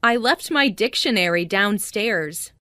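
A woman reading short English dialogue lines slowly and clearly, repeating each phrase three times for learners. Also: mid-range voice, lively intonation, bright, forceful tone, no room sound, clean studio sound. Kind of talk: slow scripted phrase repetition.

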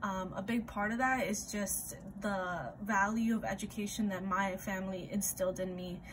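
A woman's voice: mid-range voice, steady tone, moderate pace. A young woman from Ecuador in her twenties, an admissions recruitment coordinator, giving a friendly, explanatory self-introduction on a webcam. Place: home room with ivy wall. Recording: video call with audible background noise.

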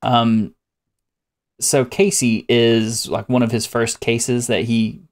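Casting: man co-host, unhurried casual reactor, headset mic, slow drawl. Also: mid-range voice, flat delivery. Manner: slow, drawn-out delivery with fillers. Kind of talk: casual chat.

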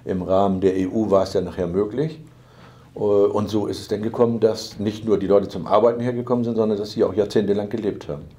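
Middle-aged male manager, measured and matter-of-fact, lowish warm voice. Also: fairly even intonation. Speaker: company manager. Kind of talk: explanatory interview. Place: office with file binders.

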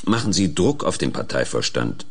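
A man playing a brusque, hurried political schemer in a German radio play, curt and commanding. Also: rumbling low, big pitch swings, breathy edge, heavily acted. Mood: brisk, impatient.

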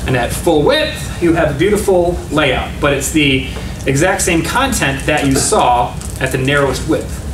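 A man's voice: a little high, lively intonation, moderate pace. A middle-aged man giving a casual talk at a meetup, often correcting himself as he goes. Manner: casual, self-correcting, enthusiastic about design. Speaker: meetup speaker. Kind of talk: conference talk with slides. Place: meeting room with projection screen. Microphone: room recording from a far camera. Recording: echoey room from far off, noisy.

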